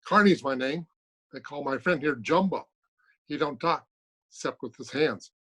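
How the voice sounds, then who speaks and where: An actor playing a crude, taunting gangster heavy who talks in tough, broken grammar in an old-time radio crime drama. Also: male voice, on the high side, normal speed, fairly bright tone, slightly rough. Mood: menacing. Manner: threatening, ungrammatical tough talk. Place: at home, recorded remotely.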